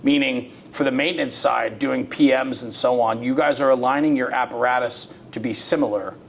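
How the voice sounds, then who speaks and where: A man's voice: steady tone, normal speed, gravelly. An American man interviewing conversationally as a show host, engaged and explaining his points at length. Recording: faint room noise with low rumble.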